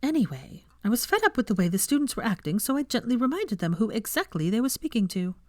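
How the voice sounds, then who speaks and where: A woman reader acts out a young witch professor in an audiobook. She is self-assured and prim, cheerful yet firm, speaking in a raised, animated pitch with a dry sound. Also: quick pace, no room sound. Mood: brisk, assured.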